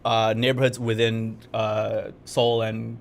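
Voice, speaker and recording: A young man co-hosting a live game event stream, upbeat, talkative and easily excited. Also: lowish voice, takes it slowly, vocal fry. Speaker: game community manager. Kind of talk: casual livestream co-hosting. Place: studio in Korea.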